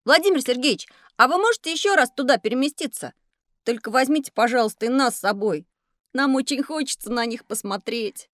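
A woman's voice: high-pitched, lively intonation, a little fast, a bit bright, no room sound, studio quality. A woman playing a curious, eager little schoolboy in a children's educational audio play. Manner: eager, wondering, chatty.

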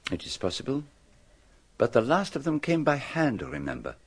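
A man's voice: mid-range voice, huge pitch swings, normal speed, breathy edge, dry recording. A man playing a shrewd, courtly detective with a French accent in a radio drama, speaking with theatrical, probing courtesy. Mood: courteous, probing.

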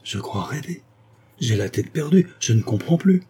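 A man's voice: mid-range voice, big pitch swings, moderate pace, dark tone, a little hiss or hum, voice pushed up. A man reading aloud, voicing a devoted young male lawyer who speaks in an earnest, pleading way. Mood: bewildered.

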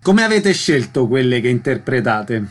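A man's voice: mid-range voice, sing-song, normal speed. A man hosting a radio interview, putting prepared questions in a formal, concise way.

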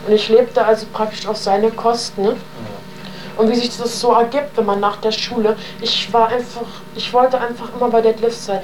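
A woman's voice: mid-range voice, a little mellow. Young woman on noisy interview tape. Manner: rambling, with slangy wording. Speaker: interviewee recounting her own life. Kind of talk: spontaneous, colloquial oral testimony.

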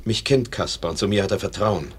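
Gentle teacher, low and husky, radio play. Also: male voice, fairly quick. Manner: gentle, coaxing. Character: gentle, polite male schoolteacher. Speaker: professor.

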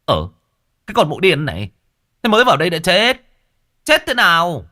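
A man's voice: high-pitched, huge pitch swings, normal speed, sharp tone, voice pushed up, exaggerated voice. A man voicing an exasperated older male shaman who scolds brusquely. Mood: scolding.

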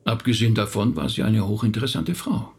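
A man's voice: lowish voice, fairly quick, husky. A male actor playing a middle-aged rabbi in a naturalistic radio drama, thoughtful, talkative and a little hesitant as he recounts family history. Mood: candid, reminiscing. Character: thoughtful male rabbi recounting his late relative's life.

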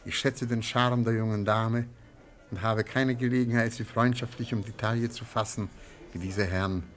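An actor playing an elderly, formal and shrewd antiques dealer who reads horoscopes, in a measured German radio drama style. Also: male voice, lowish voice, steady tone, a bit bright, faint music behind, lightly acted. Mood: courteous, sly. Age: older. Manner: formal, measured, politely ornate. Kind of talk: German radio play acting.

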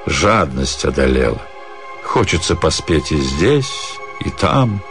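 A man reading a literary story theatrically, with expressive, measured phrasing and dramatic pauses. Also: extremely low pitch, creaky. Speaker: actor reading a short story. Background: music.

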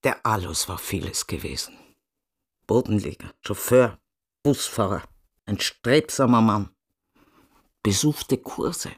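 A man's voice: fairly low pitch, animated, slow pace, long pauses, husky, heavily acted. An actor in a radio drama playing a plain-spoken village man who narrates a local story in short statements. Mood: reflective.

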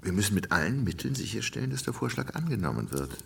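An actor playing a vain, bombastic, quick-tempered count and politician in a radio drama, condescending and theatrical. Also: male voice, fairly low pitch, very animated, a bit bright, distant mic, slight background noise, full character voice. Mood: smooth, condescending. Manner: theatrical, pompous, swinging from smooth to blustering. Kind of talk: German radio drama acting.

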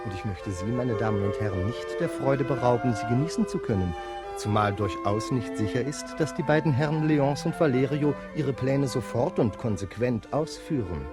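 Actor for an ironic, courtly narrator speaking to the audience, lively delivery, loud music behind. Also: male voice, medium pitch, normal speed, close to an everyday voice. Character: ironic male master of ceremonies addressing the audience. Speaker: narrator in a radio play. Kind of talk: 1950s radio play acting.

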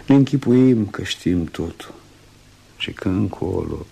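An actor in a radio play voicing a troubled, humbled doctor who speaks in a brooding, halting, earnest way. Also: male voice, low-pitched, lively intonation, gravelly, mellow, breathy edge, dull audio, heavily acted. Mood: earnest, shaken. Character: troubled, humbled male English doctor, once a cold rationalist. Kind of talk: Romanian radio theatre acting.

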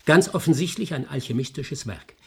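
Animated, inquisitive male investigator. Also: fairly high pitch, moderate pace, gravelly, husky, full character voice. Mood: composed. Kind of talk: German radio play acting.

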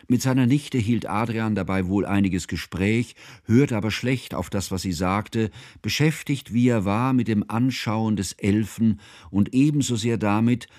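An educated narrator in a literary radio drama. His voice sits on the low side. He speaks formally and fondly, with ornate, measured phrasing. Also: darkish tone, no echo, clean studio sound. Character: educated male narrator, fondly observant and ceremonious.

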